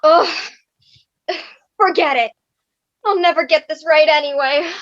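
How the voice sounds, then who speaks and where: A woman's voice: high voice, unhurried. A woman in a comedic play reading, playing a frustrated young girl who complains theatrically, on a roomy, muffled recording. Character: frustrated young Juliet, grumbling amateur poisoner.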